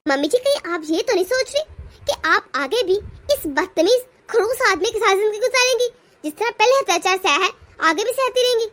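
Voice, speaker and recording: Lady doing a feisty cartoon bahu, sharp and defiant, really high-pitched. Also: animated, brisk. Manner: defiant, scolding. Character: bold young daughter-in-law, feisty and protective.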